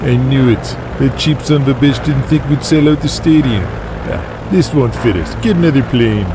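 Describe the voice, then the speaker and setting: A man's voice: medium pitch, normal speed, noisy background, pitched above the performer's own voice, lightly acted. A man playing a brash, foul-mouthed pro wrestling champion from the 1980s in an audio drama, swaggering and profane. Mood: irritated, bossy. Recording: music under the voice.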